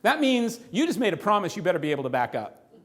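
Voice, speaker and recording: Lively high-voiced man addressing a room. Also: fast. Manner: animated, asks the audience rhetorical questions. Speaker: financial presenter. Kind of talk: live talk to an audience. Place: room with a live audience.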